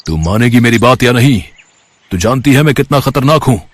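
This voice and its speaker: A man's voice: mid-range voice, lively intonation, a little fast, pitched below the performer's own voice, close to an everyday voice. A man voicing a boastful, menacing evil sorcerer who barks threats and commands in a children's story.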